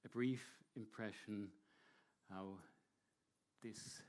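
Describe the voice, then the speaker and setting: A man presenting a technical talk to an audience in a measured, explanatory way, with short hesitant pauses. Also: fairly low pitch, steady tone, a little mellow. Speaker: air traffic control presenter.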